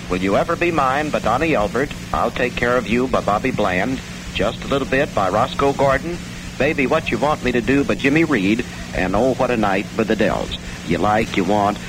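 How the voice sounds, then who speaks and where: An American man working as a radio DJ, pitching mail-order records in folksy, colloquial 1960s patter. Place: radio studio. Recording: noisy 1960 AM radio aircheck.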